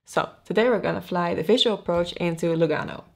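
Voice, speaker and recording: A young woman from the Netherlands, an airline pilot, presenting a scripted explainer to camera in a clear, measured, friendly way, seated at a desk in a small studio. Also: fairly low pitch, darkish tone. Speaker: airline pilot and video host. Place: small indoor studio with aviation posters.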